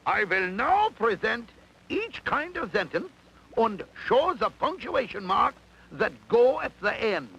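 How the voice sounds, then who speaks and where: A man playing an eccentric, excitable cartoon mad scientist professor who lectures in a grandiose, deliberate, dramatic way. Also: extremely high pitch, creaky, dull audio, a little hiss or hum, full character voice. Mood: grand lecturing.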